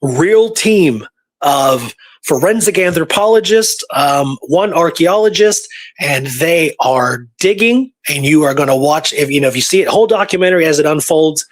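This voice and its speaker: Guy co-hosting a podcast, voice a bit on the high side, chatty and emphatic like he loves telling a story. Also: expressive, normal speed. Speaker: podcast co-host. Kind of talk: casual podcast chat.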